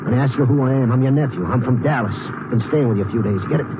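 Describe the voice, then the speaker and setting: A man's voice: medium pitch, very fast, natural voice. An actor playing an escaped convict in a western radio drama, curt and threatening in his talk. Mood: menacing. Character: menacing male escaped convict, terse and threatening. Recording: noisy muffled 1950s radio recording.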